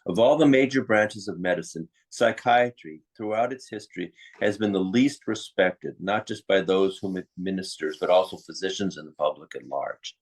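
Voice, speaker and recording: Low-pitched man, American accent, interview guest, opinionated, explains stuff in a chatty way. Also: normal speed, hardly any pauses, low-quality recording. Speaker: interview guest, psychiatrist and author. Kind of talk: conversational interview talk.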